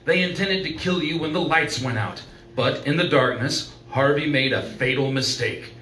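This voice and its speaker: A man's voice: medium pitch, normal speed, sharp tone, lightly acted. A man playing an earnest doctor in an old-time radio play, pleading insistently and dramatically. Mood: urgent pleading. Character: earnest, urgent male doctor pleading with a frightened woman. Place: live performance venue, indoors. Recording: roomy, distant live-stage recording, faint noise.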